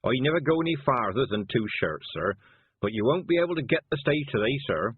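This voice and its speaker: A man voicing a humble male cart driver who keeps deferring politely, with a husky voice. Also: medium pitch, big pitch swings, a little fast, no room sound, pitched above the performer's own voice. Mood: deferential. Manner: deferential, keeps saying sir. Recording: dry tinny phone-like sound.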